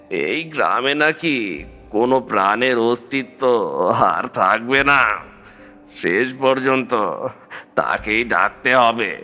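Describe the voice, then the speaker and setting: Man as slow, forceful old villager. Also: mid-range voice. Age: older. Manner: solemn, explanatory, deliberate. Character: regretful old male village elder.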